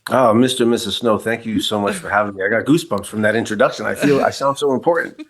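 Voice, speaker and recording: A man in his forties from New York City speaks as a podcast guest, with a lowish voice. He talks candidly and reflectively, often restarting his sentences as he goes. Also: fast talker. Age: 40s. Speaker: podcast guest, kink educator. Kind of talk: casual interview, personal story.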